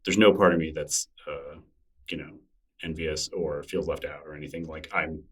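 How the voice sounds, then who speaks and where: A man's voice: a bass voice, fairly even intonation, normal speed, breathy edge, far from the mic. A man being interviewed in casual conversation, thoughtful and rambling, full of fillers and restarts. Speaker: interview guest, newsletter creator.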